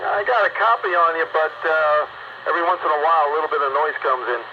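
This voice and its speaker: A man's voice: on the high side, fast. A man giving casual advice and chat over hobby radio. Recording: dull, noisy radio transmission. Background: clear radio noise.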